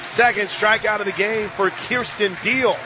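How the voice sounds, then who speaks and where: An American man working as a radio play-by-play announcer, mixing animated game calls with casual conversational banter. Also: moderate pace, crowd noise, noisy background. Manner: animated calls that swell to shouts on big plays, conversational between pitches. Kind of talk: live sports commentary with casual banter. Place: radio broadcast booth at a ballpark.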